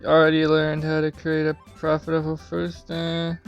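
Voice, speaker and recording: Young man with creaky, casual gamer talk. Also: on the high side, completely flat delivery, normal speed, lo-fi sound, faint music behind. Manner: slangy, offhand, profane. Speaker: gamer playing on camera or stream. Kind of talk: casual gameplay commentary.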